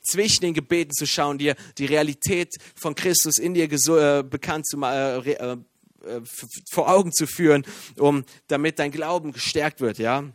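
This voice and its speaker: A man from Iran preaching a sermon in a lively, joking way, with many fillers and restarts. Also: a little high, normal speed, dry recording, studio quality. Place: church.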